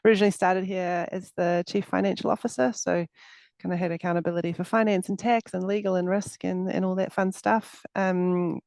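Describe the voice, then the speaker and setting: A woman's voice: on the low side, steady tone, a little fast, vocal fry, darkish tone, dry recording. A middle-aged woman with a New Zealand accent, introducing herself as a competition judge in a chatty, friendly way on a video call. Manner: friendly, chatty, with frequent fillers. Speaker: competition judge, business executive.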